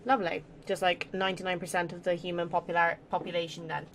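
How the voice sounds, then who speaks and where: A woman's voice: on the low side, flat delivery, quick pace, a little hiss or hum. A woman giving casual let's play commentary as a gaming streamer, chatty, irreverent and sweary.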